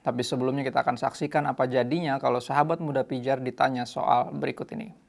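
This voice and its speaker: A man's voice: mid-range voice, fairly even intonation, fast, vocal fry. An Indonesian man hosting a casual youth talk show, mixing English phrases into his speech. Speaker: host.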